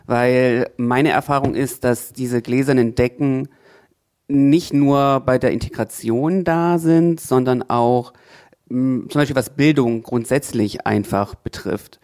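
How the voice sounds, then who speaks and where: A man's voice: mid-range voice, slow, a little mellow. Thoughtful man explaining at length. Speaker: language teacher. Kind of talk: panel discussion.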